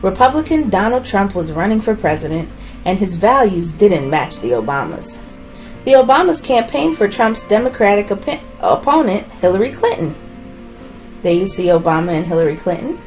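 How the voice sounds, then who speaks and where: A woman hosts a children's book read-aloud in a mid-pitched, very animated voice. She phrases deliberately and leans on key words, adding short asides as she goes. Faint music plays behind her. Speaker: read-aloud host reading a children's biography.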